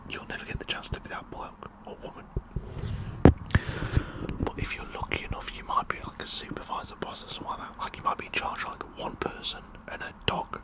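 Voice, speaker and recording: A man with a British accent whispering a rambling, tongue-in-cheek ASMR monologue in a breathy voice, often repeating words for effect. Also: a little fast, low-quality recording. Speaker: ASMR performer.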